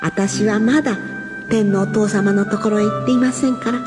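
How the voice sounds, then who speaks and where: A woman voicing a calm, gentle male teacher who speaks in a soft, measured, kindly way. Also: medium pitch, long reverb tail, music in the background, voice pushed up, lightly acted.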